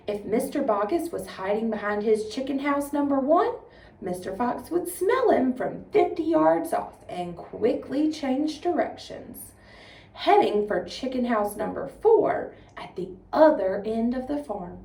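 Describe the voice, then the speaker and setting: A woman presenting in an instructive, expressive way, as for a tutorial or a storybook reading. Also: on the high side, a little slow, creaky. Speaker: 4-H agent, presenter. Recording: roomy echo, distant microphone.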